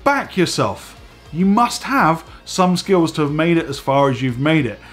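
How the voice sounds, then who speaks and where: Man in his forties, slightly higher voice, a little stuffy and hoarse from a cold, chatty to-camera host with faint music underneath. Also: a little slow. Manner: chatty and animated, talking with his hands. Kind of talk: casual direct-to-camera vlog. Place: home studio room with dive gear on shelves.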